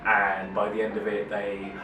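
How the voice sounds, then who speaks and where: A man with a lowish voice speaks slowly and thoughtfully about teaching, often pausing on ums. He sits back from the mic in an echoey room. Music plays under him. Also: completely flat delivery, recorded from a distance. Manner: thoughtful, slow, frequent ums. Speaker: primary school English teacher. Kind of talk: casual interview answers.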